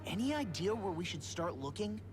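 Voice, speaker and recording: A woman's voice: medium pitch, big pitch swings, fairly quick, over music, natural voice. A woman playing a cheeky, jittery young mage in a video game, talking colloquially and expressively. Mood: uneasy, questioning.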